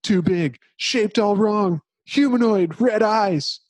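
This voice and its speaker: A man's voice: high voice, slow, no echo, pitched above the performer's own voice. A man voicing a tense young male Marine who reports in horror, in a strained voice, as part of a dramatic book reading. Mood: shouting, horrified.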